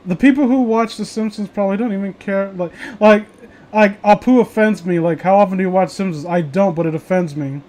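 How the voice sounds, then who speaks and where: High voiced guy rambling casually w friends, opinionated, swears a lot. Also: brisk, a little mellow. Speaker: host of a reading show.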